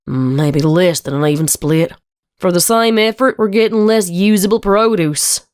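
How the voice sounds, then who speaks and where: A woman's voice: fairly low pitch, a little slow, a little mellow, no echo, natural voice. Woman playing a Southern farm mare, very dry close sound. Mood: matter-of-fact, concerned. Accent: American Southern. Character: earnest, burdened adult farm mare, elder sister. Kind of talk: dramatic fan fiction reading.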